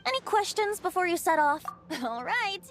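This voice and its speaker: A woman's voice: very high-pitched, very animated, moderate pace, full character voice. A woman voicing a playful young male bard god in a video game, with a lilting, theatrical storytelling manner. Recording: music under the voice.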